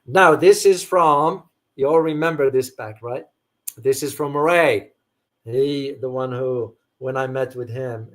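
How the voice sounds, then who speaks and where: A middle-aged man, raised in Morocco with Arabic as a first language, hosting a casual livestream chat and answering viewers with rambling restarts. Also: medium pitch. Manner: rambling, frequent restarts and fillers, thanks viewers by name. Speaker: host answering viewer questions.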